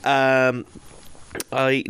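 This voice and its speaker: A man with a middle-pitched voice co-hosts a casual review podcast. He speaks conversationally and effusively, as if talking things over with a friend. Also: monotone, drawn-out words, a bit bright, smooth. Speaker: podcast co-host.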